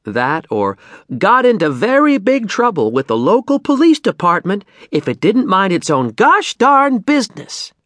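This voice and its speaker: A man's voice: high-pitched, big pitch swings, measured pace, fairly bright tone, no room sound, voice pushed up, exaggerated voice. A man voicing a worried, nagging American mother in an audiobook story. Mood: scolding.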